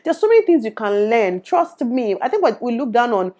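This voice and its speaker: A young Nigerian woman with a Nigerian accent, giving her opinions in an animated to-camera vlog and slipping into Pidgin. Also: on the high side, brisk. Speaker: YouTuber. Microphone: lapel.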